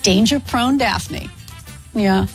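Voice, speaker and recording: A woman's voice: mid-range voice, takes it slowly, soft background music. A woman, a voice actress telling anecdotes in an interview. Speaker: cartoon voice actress.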